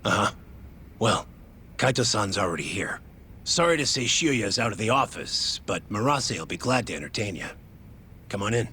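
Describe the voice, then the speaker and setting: Sharp, smug gangster henchman. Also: lowish voice, sing-song, moderate pace, slight background noise. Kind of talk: English video game voice acting.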